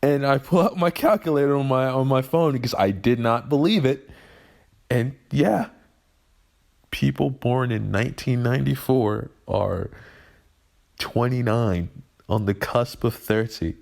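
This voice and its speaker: A man of twenty-one talks to camera in a halting, emotional way, often restarting his sentences as he vents about growing up. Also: medium pitch, vocal fry, darkish tone. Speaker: vlogger. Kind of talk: personal venting monologue.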